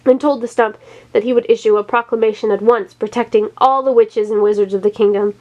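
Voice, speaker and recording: Higher-voiced woman reading a story. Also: fairly even intonation, brisk, warm tone, dull audio. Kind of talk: children's story read aloud.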